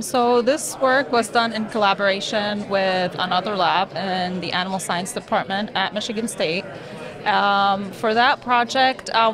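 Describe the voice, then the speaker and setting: A woman in her twenties from Lebanon, a graduate student explaining her research in a podcast interview, with many ums and restarts. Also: mid-range voice. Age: 20s. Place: conference hall booth with busy crowd behind. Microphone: table mic on stand, wearing headphones.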